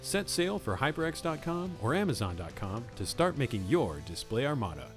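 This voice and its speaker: A male announcer delivers a scripted ad with grandiose theatricality. His mid-pitched voice moves in animated swoops, and faint music plays behind him. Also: moderate pace. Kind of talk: scripted advertising read.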